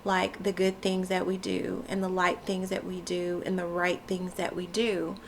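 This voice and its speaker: A woman's voice: lowish voice, monotone, normal speed, a little hiss or hum. A woman talking casually to camera with a stuffy nose and a froggy voice, chatty and loose with many fillers. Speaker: wellness vlogger. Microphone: camera mic.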